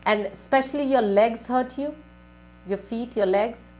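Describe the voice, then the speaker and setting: A woman with a fairly high voice gives a live call-in consultation as a spiritual advisor. She asks leading questions, then repeats her advice for emphasis. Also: measured pace, a little mellow. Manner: repetitive, asking leading questions and giving advice.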